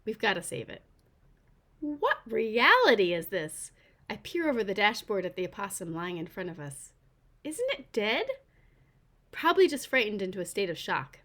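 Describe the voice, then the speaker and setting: A woman, an author, reading her children's novel aloud in an animated, informal way. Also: medium pitch, normal speed.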